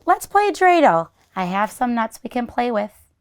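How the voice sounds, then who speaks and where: A woman's voice: a little high, sing-song, normal speed, clear, resonant tone. A woman voicing a kindly, chatty elderly grandmother in a children's storybook, fussing and exclaiming as she hosts. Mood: playful.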